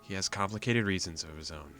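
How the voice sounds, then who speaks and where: A man voicing a protective young man who talks in a firm, calm, reassuring way. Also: deep voice, fast talker, soft background music.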